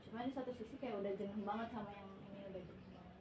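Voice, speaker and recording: A woman's voice: mid-range voice, rapid-fire. Casual young woman, distant echoey recording. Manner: casual, rambling with fillers. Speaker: client in role-play. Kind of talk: casual role-played counseling talk. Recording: echoey room, distant mic, noise.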